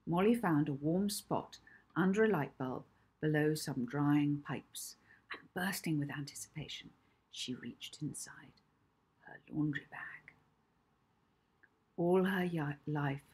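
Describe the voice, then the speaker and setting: A middle-aged woman reading her own children's story aloud, measured and expressive, with deliberate pauses. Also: deep voice, breathy edge. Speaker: author reading own book. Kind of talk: children's audiobook narration.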